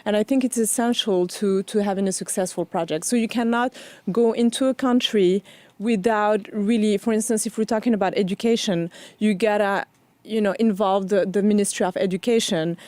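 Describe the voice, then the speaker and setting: Woman from Morocco, halting interview guest. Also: medium pitch, steady tone, darkish tone, no room sound. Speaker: interview guest, foundation founder. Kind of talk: conversational interview.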